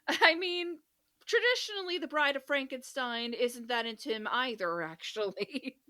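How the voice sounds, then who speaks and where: Animated, higher-pitched woman podcast host. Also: measured pace. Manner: giggly, animated, swears freely. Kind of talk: casual humorous chat.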